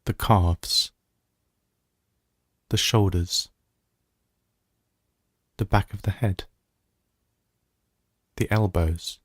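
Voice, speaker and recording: A man guiding a body scan meditation as a teacher, giving gentle, measured instructions with pauses. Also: low-pitched, fairly even intonation, dry recording, studio quality.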